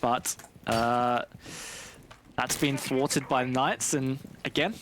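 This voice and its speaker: A man's voice: mid-range voice, steady tone, slow, low-quality recording. A man giving analytical live commentary on an esports match.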